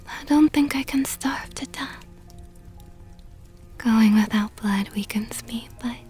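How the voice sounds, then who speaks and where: Woman acting a breathy, whispering seductive vampire countess. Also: a little high, warm tone, full character voice. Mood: sly, seductive. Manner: slow, coaxing, mockingly sweet. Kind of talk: ASMR roleplay.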